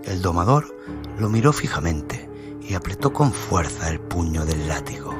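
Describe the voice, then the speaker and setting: Un hombre que narra un cuento dramático con guion, como narrador de radioteatro. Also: male voice, low-pitched, sing-song, normal speed. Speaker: storyteller, narrator. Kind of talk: dramatic scripted story narration. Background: loud music bed.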